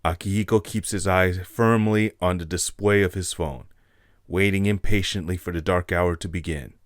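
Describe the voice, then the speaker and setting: A man's voice: low voice, steady tone, normal speed, no room sound, clean studio sound. A man narrating a scripted story as the narrator of an audio drama.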